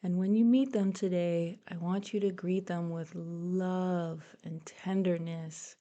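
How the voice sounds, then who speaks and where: A woman leading a guided meditation in a soothing, gentle, unhurried and encouraging way. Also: lowish voice, steady tone, dull audio. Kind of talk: guided meditation, soft instructional narration. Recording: soft music behind the voice. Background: meditation music.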